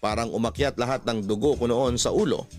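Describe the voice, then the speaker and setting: A man's voice: medium pitch, fairly quick, a little mellow, soft background music. A man narrating a scripted first-person story as a radio drama host. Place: dry studio with music behind.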